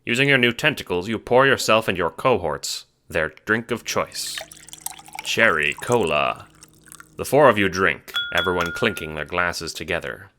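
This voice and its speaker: A man's voice: sits low, expressive, normal speed, pushed and bright, a little hiss or hum. A man reading scripted, second-person dramatic narration for an audio drama.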